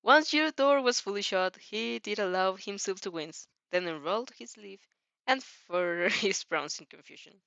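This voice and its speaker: A woman narrates fan fiction in a medium-pitched voice. Her reading is dramatic but informal, with repeated and rephrased phrases. The sound is dry and close. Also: expressive, normal speed, vocal fry, fairly bright tone, dry recording, highs cut off. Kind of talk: dramatic story narration with stumbles.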